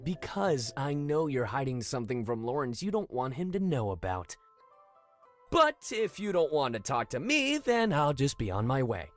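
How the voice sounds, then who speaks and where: Comic-dub teen schemer, played by a man: smug, needling, lively intonation, faint music. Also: medium pitch, normal speed, lightly acted. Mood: smug, threatening. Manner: pointed, needling. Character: stubborn scheming teenage boy, smug.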